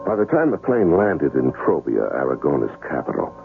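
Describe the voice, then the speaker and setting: A man's voice: a bass voice, sing-song, a little fast, creaky, heavily acted. An American actor playing an amnesiac traveler, narrating and speaking his adventure in a wry, hesitant way for a radio drama. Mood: wary recounting. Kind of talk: first-person radio drama narration and dialogue. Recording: muffled vintage radio broadcast, music behind.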